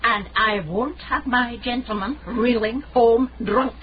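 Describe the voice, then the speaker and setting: A woman acting a pious, sharp-tongued Scottish landlady in a radio drama, brisk, scolding and no-nonsense. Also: a little high, natural voice. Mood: stern scolding. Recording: dull recording with faint noise.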